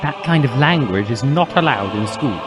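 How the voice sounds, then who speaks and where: A man's voice: medium pitch, animated, normal speed, music in the background, pitched above the performer's own voice, lightly acted. A man voicing a stern, smug female school professor in a comic dramatic reading. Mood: stern, scolding.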